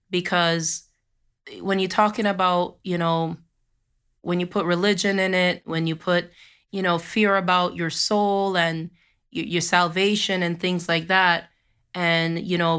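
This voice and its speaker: A Jamaican-born woman hosts a spiritual podcast, her lowish voice smooth and clear despite the cold she is fighting. She talks in a loose, rambling way with plenty of fillers, after chanting slow affirmations. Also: a bit bright. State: has a cold, losing her voice. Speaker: podcast host.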